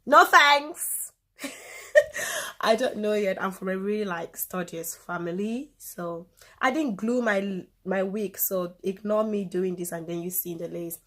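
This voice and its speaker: A woman's voice: mid-range voice, a little slow, bright, forceful tone. A woman in her twenties with a Cameroonian accent chats casually to camera as a vlogger, informal and given to emphatic repetition, recorded on a phone camera. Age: 20s.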